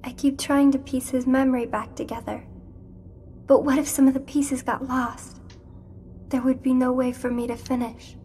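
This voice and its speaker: High-voiced, troubled young witch. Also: little pitch movement, moderate pace, dark tone, a little hiss or hum. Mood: worried. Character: gentle, troubled young witch girl who mends memories. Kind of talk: dramatic video game voice acting.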